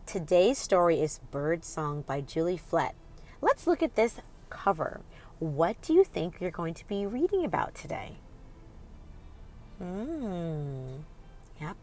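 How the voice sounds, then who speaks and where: A woman's voice: fairly low pitch, darkish tone. A woman hosting a children's read-aloud, reading expressively and putting questions directly to young listeners in an instructive way.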